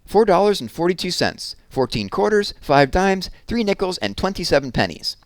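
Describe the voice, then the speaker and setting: Man voicing an eager, high-pitched little girl. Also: big pitch swings, moderate pace, no echo. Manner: earnest, chatty, explaining eagerly. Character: eager, anxious eight-year-old girl, good at math. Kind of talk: children's storybook read-aloud.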